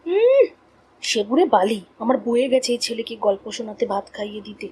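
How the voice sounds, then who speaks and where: A cruel cartoon stepmother, acted by a woman, with a fairly high voice. She is bossy and scornful, scolding and threatening as she orders people about. Faint noise sits behind her. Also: sing-song, brisk, mellow. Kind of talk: cartoon voice acting.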